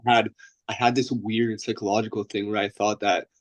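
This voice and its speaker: A man's voice: lowish voice, flat delivery, a little fast. A young man from Bosnia, a guest trader, talking loosely and rambling over a video call, often tagging "you know what I mean" and "right?". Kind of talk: casual interview over a call.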